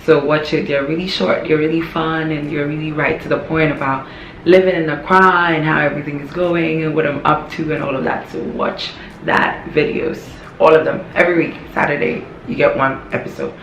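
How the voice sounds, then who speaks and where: A young woman talks to her vlog audience, her voice hoarse and frayed. She is candid and chatty and doubles back on phrases to drive a point home. The sound bounces around an echoey room, with a faint hum of noise underneath. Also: sits low, steady tone, normal speed, fairly bright tone. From Ghana. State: hoarse, voice worn from yelling. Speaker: vlogger. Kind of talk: casual talking-to-camera vlog.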